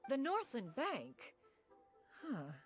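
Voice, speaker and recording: Haughty, refined immortal lady, highly expressive. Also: very slow, full character voice. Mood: lofty, reserved. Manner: lofty, archaic, formal, easily affronted. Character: haughty, refined, ancient immortal woman posing as collector. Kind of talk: video game voice acting. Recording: music behind the voice.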